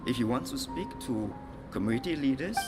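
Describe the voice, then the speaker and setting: A man giving a formal parliamentary speech, with emphatic, deliberate phrasing. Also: medium pitch, lively intonation. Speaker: member of parliament. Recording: loud music over parliamentary broadcast.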